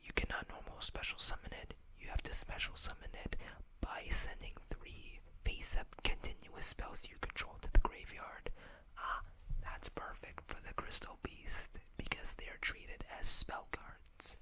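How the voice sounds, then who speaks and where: An ASMR host whispering in an unhurried way, reading text aloud and adding small asides. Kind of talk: whispered ASMR card showcase.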